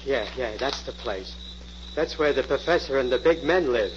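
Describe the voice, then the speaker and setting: A man's voice: mid-range voice, animated, normal speed, lightly acted. Man playing a timid, simple-minded folksy fellow. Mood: naive, eager. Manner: hesitant, folksy, ungrammatical. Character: simple, timid, naive country fellow, easily awed. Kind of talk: 1940s radio drama acting. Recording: dull, noisy old radio recording.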